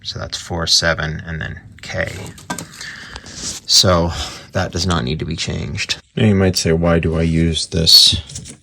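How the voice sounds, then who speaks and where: A man's voice: low-pitched, gravelly, fairly bright tone, breathy edge. A man giving a casual, unscripted repair tutorial in a rambling, conversational, matter-of-fact way. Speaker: radio repair hobbyist presenting a tutorial. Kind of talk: casual unscripted workbench explanation.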